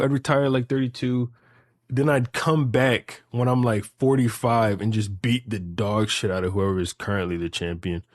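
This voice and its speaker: A man's voice: medium pitch, no echo, studio quality. A man in his late twenties chatting casually and rambling through hypotheticals, repeating phrases emphatically with profane slang. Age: late 20s.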